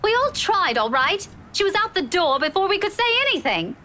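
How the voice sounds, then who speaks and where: A woman's voice: very high voice, very animated, a little fast, pushed and bright, a little hiss or hum, heavily acted. An actress playing a defensive, flustered young woman in a visual novel game.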